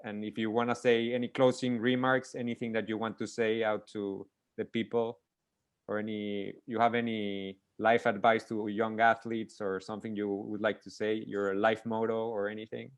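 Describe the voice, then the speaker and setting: Guy hosting a chat interview, lowish voice, dry sound, lots of uhs and restarts. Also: little pitch movement, normal speed, clean tone, no room sound. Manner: rambling, restarts questions, many fillers. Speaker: interview host.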